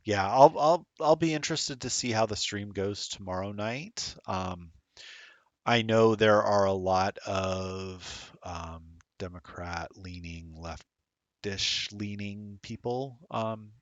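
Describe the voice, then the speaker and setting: A man's voice: on the low side, slow pace, no room sound. A middle-aged man rambling casually while commentating a streamed tabletop game, self-deprecating and chatty.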